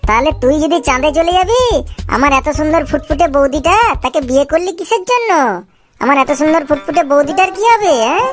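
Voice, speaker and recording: A woman's voice: high-pitched, natural voice. A woman voicing a nosy, indignant young tattletale in a comic cartoon, scolding and threatening. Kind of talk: comic amateur cartoon dialogue.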